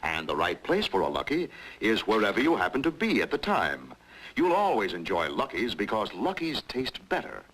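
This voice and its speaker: An American man reading a 1950s advertisement as an announcer, with a polished, measured sales pitch. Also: huge pitch swings, fairly bright tone, rough recording. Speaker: commercial announcer. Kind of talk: 1950s cigarette advertisement narration.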